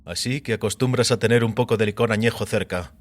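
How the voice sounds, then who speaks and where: A man voicing a mysterious, composed and quietly assured visitor in audio fiction, speaking in a measured, calm way. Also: on the low side, lightly acted. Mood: calm, reflective.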